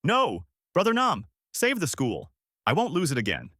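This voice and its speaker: A man's voice: a little high, sing-song, moderate pace, a bit bright, no room sound, voice pushed up. A devoted woman teacher, acted out by a male narrator, pleading in raised, sweeping cries. The voice is desperate and emotional, recorded close and dry, in storybook style.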